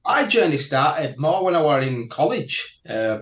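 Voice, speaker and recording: A man from South Yorkshire with a strong Yorkshire accent, chatting as a guest and telling his martial arts story slowly and carefully, often laughing. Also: fairly high pitch, sing-song, fairly bright tone. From England (Barnsley, South Yorkshire). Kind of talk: casual interview chat. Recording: echoey room, dull lo-fi audio.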